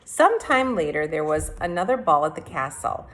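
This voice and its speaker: A woman with a slightly low-pitched voice reads a storybook aloud. She narrates expressively, in the manner of a teacher sharing a fairy tale with a class. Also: very animated, normal speed, very noisy.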